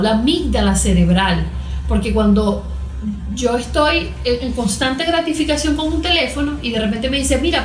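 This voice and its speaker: A woman with a Venezuelan accent explaining as an expert guest in a conversational interview, didactic, posing questions and answering them. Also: fairly high pitch. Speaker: guest expert, cognitive therapist. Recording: echoey room.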